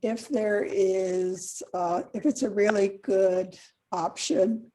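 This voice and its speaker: A woman candidate answering a forum question over a video call, quiet and soft-spoken, hesitant with restarts. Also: medium pitch, steady tone, slow pace. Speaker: election candidate.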